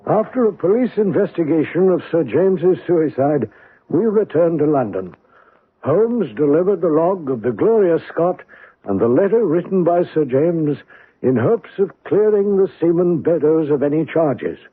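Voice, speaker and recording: A man playing a genial, earnest gentleman companion who also narrates, in a radio mystery drama. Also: fairly high pitch, sing-song, moderate pace, breathy edge, phone-quality sound. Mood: reflective narration. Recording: thin band-limited old radio broadcast.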